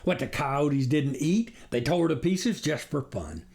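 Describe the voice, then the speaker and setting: A man's voice: a little high, very animated, measured pace, vocal fry, darkish tone, full character voice. Man doing a rustic, rambling country farmer. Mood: despairing. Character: bald, gullible, fretful old-fashioned country farmer. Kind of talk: storybook character reading.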